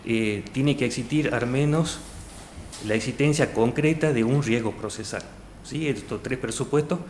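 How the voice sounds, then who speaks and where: A man's voice: medium pitch, moderate pace. Hesitant Salta man in an echoey room. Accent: Argentine Spanish, Salta. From Argentina, Salta province. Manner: hesitant, many fillers and restarts. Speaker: job candidate. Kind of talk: formal oral interview. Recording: echoey room, faint noise.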